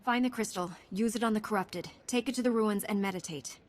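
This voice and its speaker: A woman's voice: medium pitch, a little fast, close to an everyday voice. A woman playing a resolute young warrior hero in a video game, speaking in an earnest, direct, composed way. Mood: determined. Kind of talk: video game cutscene acting. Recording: music under the voice.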